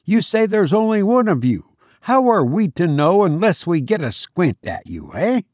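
A man's voice: high-pitched, very animated, normal speed, a little mellow, dry recording, studio quality, voice pushed up. A man voicing a bold, practical sailor who speaks bluntly and colloquially, calling out in an audiobook. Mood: challenging. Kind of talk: audiobook character acting.

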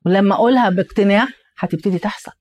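A young adult Egyptian woman co-hosting a casual podcast, talking in an animated way with a tired, strained voice. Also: mid-range voice, rapid-fire. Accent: Egyptian Arabic. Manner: animated storyteller, quotes people in lively asides. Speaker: podcast co-host.

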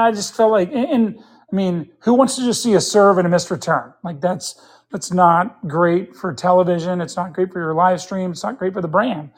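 Earnest man in his fifties, thinking aloud. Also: high-pitched. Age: 50s. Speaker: interview guest, pickleball player. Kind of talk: casual interview chat.

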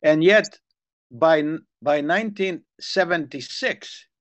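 A man's voice: a little high, slow, a bit bright. An older man with a Hungarian accent, telling long rambling stories in a casual chat. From Ukrainian Soviet Republic. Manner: rambling storyteller, repeats words when restarting.

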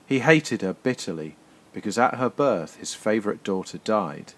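A man reading a fairy tale aloud in a measured storybook narration. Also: fairly low pitch, expressive, a bit bright. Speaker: guest story reader, poet.

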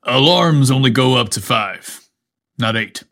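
A man voicing a confident, flirtatious fireman captain in a narrated story, smooth-talking and playful. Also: medium pitch, expressive, unhurried, fairly bright tone. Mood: playful, flirty. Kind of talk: acted dialogue in narrated story.

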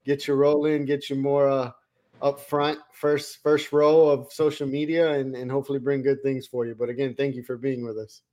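A man's voice: medium pitch, little pitch movement, normal speed, mellow. A man from Colombia co-hosting a podcast, talking in a rambling, friendly way and often repeating words. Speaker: podcast co-host. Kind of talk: casual interview chat.

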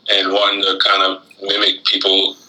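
A man from Florida chatting casually as an interview guest, halting and reflective as he talks. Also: low-pitched, monotone, pushed and bright, rough recording. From Florida, United States, born in Guyana. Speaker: interview guest, rapper and shoe designer.

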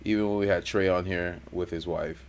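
A man with a low voice co-hosts a casual podcast. He talks in a laid-back way, laughs often, and acts out the lines he quotes. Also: fairly even intonation, fairly quick, clean tone. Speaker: podcast co-host. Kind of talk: casual chat.

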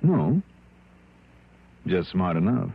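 A man's voice: low voice, big pitch swings, slow pace, creaky, heavy background noise, full character voice. An actor playing a shrewd, self-assured male insurance investigator in a 1960s American radio drama. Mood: confident, accusing. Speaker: lead actor and narrator. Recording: muffled vintage radio recording with music.

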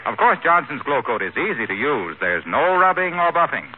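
A man's voice: fairly high pitch, sing-song, moderate pace. An American man reading a 1940s radio advertisement as an announcer, polished and persuasive. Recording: tinny, faintly hissy 1940s radio broadcast.

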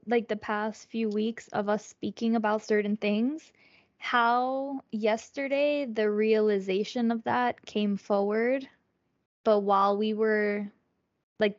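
Creaky-voiced woman in reflective conversation. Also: mid-range voice, dry recording, muffled recording. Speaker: podcast co-host.

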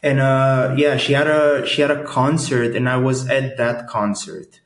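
Relaxed Romanian man in echoey room. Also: mid-range voice, unhurried. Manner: relaxed, hesitant, many fillers. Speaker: guest, agency co-founder. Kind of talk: casual interview.

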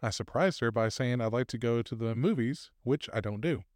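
A man's voice: mid-range voice, fairly quick, a little mellow, dry recording, clean studio sound. Man reading stories aloud with laughs. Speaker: podcast co-host reading posts aloud. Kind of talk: casual podcast chat.

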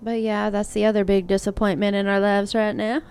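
Gravelly-voiced woman in her thirties chatting. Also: mid-range voice, little pitch movement, fairly quick, a little mellow, soft background music. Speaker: podcast co-host. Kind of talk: casual chat.

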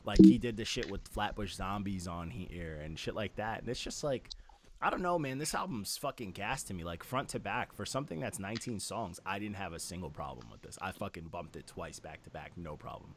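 A man with a fairly low voice hosts a casual music review. He talks in an enthusiastic, slangy style full of swearing. There is slight noise behind him and some mouth clicks. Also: lively intonation, brisk, a little mellow. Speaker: music review show host. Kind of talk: casual album review chat.

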